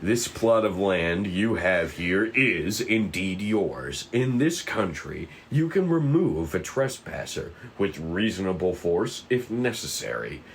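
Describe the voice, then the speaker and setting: A man's voice: deep voice, sing-song, slight background noise, full character voice. A man playing a polite, composed FBI agent who speaks formally, in a script table read. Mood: calm, stern. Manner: courteous, measured, formal.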